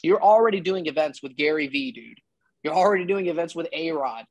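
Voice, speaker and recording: A man's voice: on the high side, lively intonation, fairly quick, darkish tone, no echo, rough recording. Blunt, emphatic man on a dry call. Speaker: business coach giving advice. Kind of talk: casual one-on-one advice call. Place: indoor room, video call. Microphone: video call.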